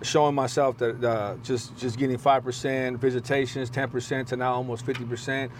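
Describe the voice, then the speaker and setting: A raspy-voiced pastor and rapper in his thirties, talking casually and emphatically, peppering his speech with "bro". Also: medium pitch, little pitch movement, fairly quick, a little hiss or hum. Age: 30s. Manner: emphatic, street slang, says "bro" often. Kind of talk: casual conversational interview.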